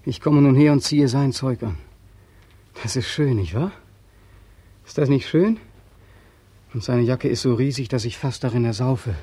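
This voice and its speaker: A man's voice: mid-range voice, moderate pace, pauses often, warm tone, husky, dry recording, lightly acted. A man playing a bitter, haunted soldier back from war in a 1940s radio drama, brooding and intense. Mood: stunned, brooding. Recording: old 1940s radio studio recording.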